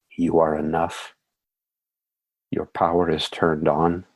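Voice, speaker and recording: A man leading a guided meditation in a soothing, measured way, with calm phrasing and gentle affirmations. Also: extremely low pitch, slightly rough.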